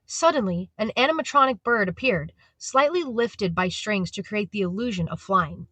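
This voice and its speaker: A woman's voice: medium pitch, expressive, normal speed, fairly bright tone. A woman reading scripted story narration for an audio drama.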